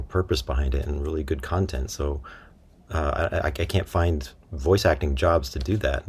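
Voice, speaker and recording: A man's voice: rumbling low, a little mellow, slightly rough. A man talking as a guest in a casual interview, informal and easygoing. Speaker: interview guest, voice actor and writer.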